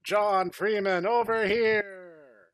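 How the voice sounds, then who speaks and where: A man's voice: high voice, fairly even intonation, very slow, a bit bright, exaggerated voice. A man voicing an earnest, melodramatic action hero in a stilted, declamatory mock-dramatic reading. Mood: heroic. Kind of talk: mock-dramatic reading of amateur fan fiction.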